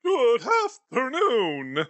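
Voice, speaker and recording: A man's voice: very high voice, huge pitch swings, drawn-out words, a bit bright, no room sound, heavily acted. A man voicing a bitter, miserly old male moneylender in a storybook reading, scornful and sarcastic.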